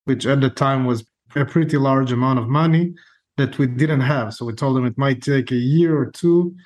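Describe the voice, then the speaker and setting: A man's voice: mid-range voice, normal speed, a little mellow. A man with an Israeli accent telling his story conversationally as a podcast guest. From Israel, born in Ukraine. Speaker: podcast guest, real estate investor.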